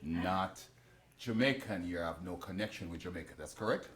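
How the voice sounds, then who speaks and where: A man's voice: deep voice, fairly quick, a little hiss or hum. A man hosting a talk show, putting questions to his guests and jumping in to push back. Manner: animated, jumps in with questions.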